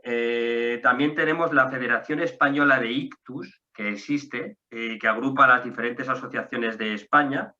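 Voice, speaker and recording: Man, host, very bright tone, friendly explaining, spells names slowly, dull lo-fi audio. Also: medium pitch. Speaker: association staff member hosting the talk. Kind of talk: casual conversational presentation.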